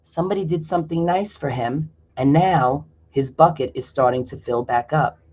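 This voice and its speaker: A man's voice: on the high side, darkish tone. Man reading a picture book to kids. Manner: pauses to explain to young listeners. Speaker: storyteller. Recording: dull lo-fi recording.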